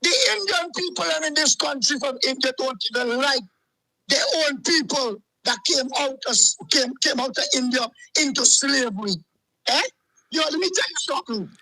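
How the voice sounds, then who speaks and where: A man's voice: extremely high pitch, moderate pace, creaky, pushed and bright, dry recording, poor audio. A man, calling in to a show and ranting heatedly, repeating himself. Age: 40s. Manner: agitated, repetitive, keeps saying "let me tell you something". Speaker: caller. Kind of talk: heated call-in rant.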